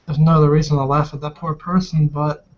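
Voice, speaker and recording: A man's voice: a little high, flat delivery, fast talker. A man in casual, rambling, informal chat as a player in a tabletop roleplaying game. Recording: dull lo-fi voice recording.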